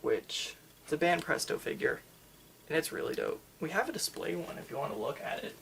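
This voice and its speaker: ASMR store worker, mid-pitched and creaky, halting casual chat, enthusiastic fan, slight ambient noise. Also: very animated, a bit bright, poor audio. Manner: rambling, halting, enthusiastic about anime. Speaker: manga store worker in a roleplay. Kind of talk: ASMR roleplay, casual customer-service chat.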